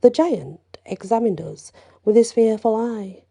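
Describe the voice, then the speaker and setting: A woman storyteller reading a folktale aloud in first person, hushed, with whispered passages and casual asides. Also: fairly high pitch, unhurried, vocal fry.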